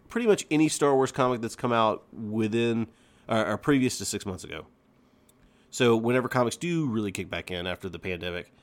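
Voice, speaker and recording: A man hosting a fan podcast in casual chat, talking excitably and repeating words for emphasis. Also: sits low. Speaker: podcast host.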